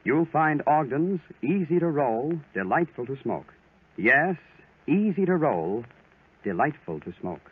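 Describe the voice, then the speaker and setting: A man's voice: a little high, very animated, unhurried, background noise. A man announcing and advertising in a 1940s radio style. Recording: phone-like 1940s radio recording.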